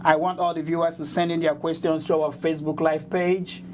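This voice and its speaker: A man's voice: fairly high pitch, level tone, brisk, background noise. A man hosting an interview show in a formal, announcer-like way. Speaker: host.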